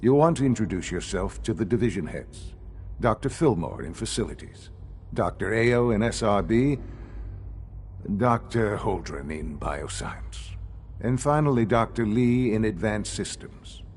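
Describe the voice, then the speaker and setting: An actor playing an elderly male leader of a secretive science institute in a video game, speaking in a measured, formal, gravely earnest way. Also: lowish voice, expressive, darkish tone, a little hiss or hum. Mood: gracious, formal. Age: older. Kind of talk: video game dialogue acting.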